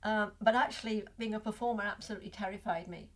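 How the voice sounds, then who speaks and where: A woman's voice: medium pitch, fairly even intonation, quick pace. An older woman with a Liverpool accent, a guest in a casual interview, talking reflectively with many restarts. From Liverpool, England.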